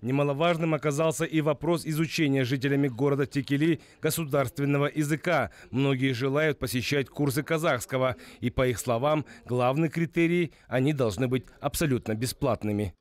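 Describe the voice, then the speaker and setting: A man reading a formal news report voice-over in a steady manner. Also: medium pitch, a little fast, hardly any pauses.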